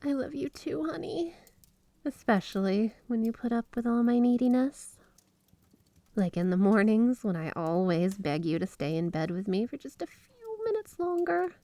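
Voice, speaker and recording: A woman's voice: on the high side, sing-song, moderate pace, dark tone, dry recording. A woman playing a flirty, obsessively devoted yandere wife in an ASMR roleplay, speaking in a sweet, clingy, gushing way. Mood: tender, needy. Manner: sweet, clingy, breathy giggles, gushing affection. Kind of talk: ASMR roleplay, addressing the listener as spouse. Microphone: close studio microphone, dry.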